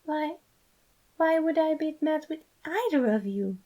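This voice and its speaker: Woman voicing an earnest, high-pitched fatherly man. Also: expressive, a little slow, dark tone, lo-fi sound. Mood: confused, hurt. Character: fatherly man, earnest, loving, emotional and reassuring. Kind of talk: fan fiction character reading.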